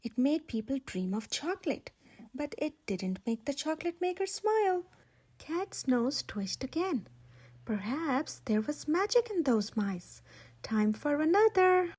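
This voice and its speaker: High, animated woman reading a children's story. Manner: expressive, dramatic emphasis with drawn-out words. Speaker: children's storybook reader and channel host. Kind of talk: animated children's read-aloud narration.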